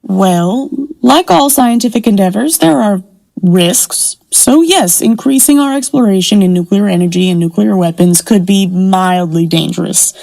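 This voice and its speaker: A woman's voice: mid-range voice, moderate pace, gravelly, mellow, low-quality recording. A woman in an audio drama playing a guarded, weary scientist turned rancher, speaking in a hesitant, understated way. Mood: nervous, evasive.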